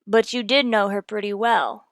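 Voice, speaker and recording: A woman's voice: mid-range voice, steady tone, normal speed, a bit bright, no room sound, clean studio sound, lightly acted. A woman playing a tough, firm police detective, terse and pressing, in a radio detective drama. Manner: terse, hard-boiled, pressing. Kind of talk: old-time radio detective drama acting.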